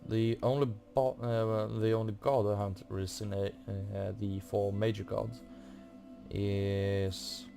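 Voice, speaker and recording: A man giving casual playthrough commentary as a gaming video host, halting as he searches for words. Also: fairly low pitch, little pitch movement, creaky, dark tone, faint music behind.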